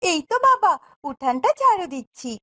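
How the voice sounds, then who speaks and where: A woman's voice: extremely high pitch, big pitch swings, normal speed, sharp tone, full character voice. A woman playing a meek, kind, hard-done-by young stepdaughter in a fairy-tale cartoon, with a plaintive, dutiful way of speaking.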